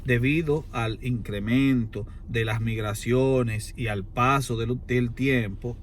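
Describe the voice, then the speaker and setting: A Dominican man with a mid-range voice lays out an argument in conversation. His wording is formal and erudite, his delivery oratorical, leaning on emphatic repetition. There is noticeable noise in the recording. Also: medium pitch. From Dominican Republic. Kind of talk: extended argumentative explanation in conversation.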